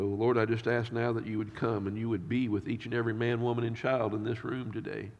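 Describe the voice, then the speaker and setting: A man's voice: fairly low pitch, a little mellow, highs cut off. A male pastor leads a prayer and then a sermon, his voice a little nasal from allergies. He often halts and repeats his words as he goes. The sound carries a long reverb from the church hall.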